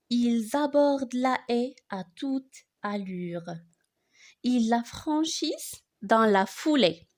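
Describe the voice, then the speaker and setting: A woman reciting a poem for learners in an expressive way, phrasing it deliberately line by line. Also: a little high.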